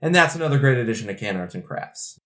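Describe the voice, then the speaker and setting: A man's voice: medium pitch, lively intonation, fast, over loud music. A man co-hosting a podcast, chatting casually in an enthusiastic, slangy way. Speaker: co-host of a craft beer podcast.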